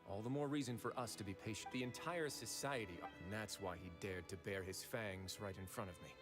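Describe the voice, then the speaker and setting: An actor playing a composed, calculating nobleman investigator in a video game, measured and authoritative. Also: lowish voice, close to an everyday voice. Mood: calm, calculating. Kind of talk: dramatic video game voice acting. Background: game music.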